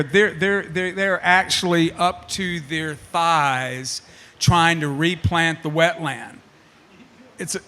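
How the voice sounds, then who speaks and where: A man's voice: high-pitched, a bit bright. A man in his sixties moderating a panel, talking in an emphatic way and repeating himself when he gets fired up. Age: 60s. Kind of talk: passionate panel talk.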